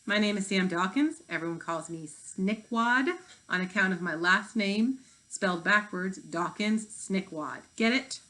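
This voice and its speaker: A woman's voice: medium pitch, measured pace, sharp tone, a little hiss or hum, poor audio. A woman reading the part of a defensive, cocky fifth-grade boy with an offhand manner.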